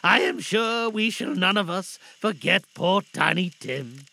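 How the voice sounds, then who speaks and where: A man's voice: high-pitched, animated, unhurried, creaky, bright, forceful tone, heavily acted. A man voicing a cheerful, tender-hearted working-class father fighting back grief, earnest and affectionate, in a dramatised storybook reading. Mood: tender, solemn.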